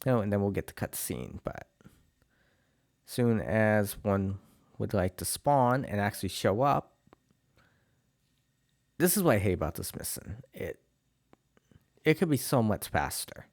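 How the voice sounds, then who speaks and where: A low-pitched man with a New England accent and a slight lisp, giving a casual walkthrough of a game. He has a cold and rambles easily, with plenty of "uh"s and restarts. The recording is close and dry. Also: a little slow, pauses often, no echo. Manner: relaxed and rambling, many "uh"s and restarts. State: has a cold, clearing his throat. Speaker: game guide creator. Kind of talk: casual gameplay walkthrough commentary.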